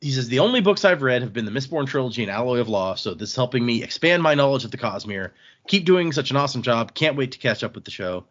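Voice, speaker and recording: Easygoing guy podcast host, mid pitch, casual, reading emails out, kinda muffled recording. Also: expressive, fast, fairly bright tone.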